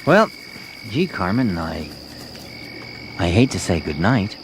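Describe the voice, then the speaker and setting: Goofy smitten fellow, swooping voice. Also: male voice, low-pitched, very animated, takes it slowly, full character voice. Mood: bashful, flirting. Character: bashful, smitten, goofy male crab eater. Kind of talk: comic radio play acting. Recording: noisy night ambience with insects.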